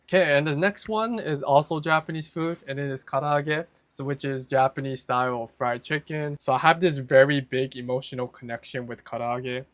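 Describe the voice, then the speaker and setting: A man's voice: medium pitch, moderate pace, hardly any pauses, fairly bright tone, no echo. A young American man from Hawaii talking casually and informally to camera as a vlogger. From Hawaii, United States. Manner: informal, conversational, mild slang. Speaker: vlogger presenting a list of favourite foods.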